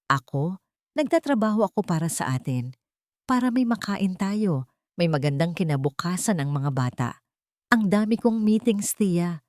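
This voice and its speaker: A woman's voice: lowish voice, lively intonation, moderate pace, darkish tone, no room sound, clean studio sound, close to an everyday voice. Woman acting a dismissive, irritated husband. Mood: annoyed, lecturing. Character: dismissive, irritated husband, proud family provider.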